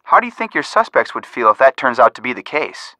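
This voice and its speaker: A man's voice: mid-range voice, very animated, quick pace, pushed and bright, no room sound. A man playing an earnest young amateur sleuth in a game, analytical and inquisitive as he reasons aloud. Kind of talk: scripted game voice acting.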